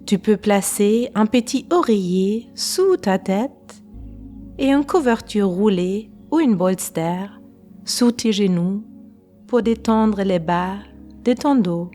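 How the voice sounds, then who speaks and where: A woman guiding a relaxation meditation in a calm, unhurried way, pausing between short phrases. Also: medium pitch. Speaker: guided meditation teacher. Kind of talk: slow guided relaxation meditation. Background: faint music.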